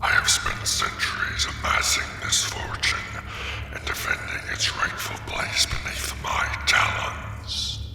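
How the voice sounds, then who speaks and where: A man voicing a haughty, possessive ancient gold dragon in a formal, menacing, breathy growl for a fantasy ASMR roleplay. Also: bright, forceful tone. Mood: haughty, boastful. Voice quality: very deep, gravelly, breathy growl. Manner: pompous, slow, menacing, formal. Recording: echoing cavern-like reverb, background music. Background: dragon lair ambience.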